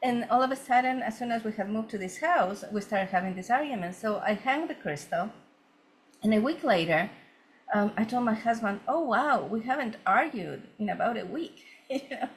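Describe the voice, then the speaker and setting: A woman's voice: on the high side, animated, normal speed. Woman from ecuador telling her feng shui story, lots of ums, bit of noise behind. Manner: earnest, many fillers and restarts. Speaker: feng shui consultant guest. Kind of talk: conversational storytelling interview.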